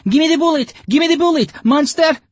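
A man voicing a shrill, greedy little girl who shrieks her demands. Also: moderate pace, dry recording. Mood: demanding.

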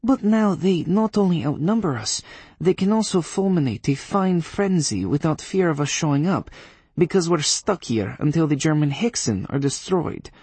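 A man's voice: fairly high pitch, animated, normal speed, a little mellow, slightly rough, no echo, pitched above the performer's own voice. Man voicing haughty Polish witch. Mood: worried. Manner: dignified, sarcastic, coolly diplomatic. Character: haughty Polish witch woman, sardonic leader.

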